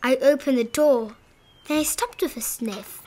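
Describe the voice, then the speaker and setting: A woman narrates a children's picture book in a high-pitched voice. She takes her time and dramatizes the tale expressively, with faint noise on the recording. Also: unhurried, mellow, low-quality recording. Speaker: read-aloud narrator of children's picture books. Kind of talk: animated children's storybook read-aloud.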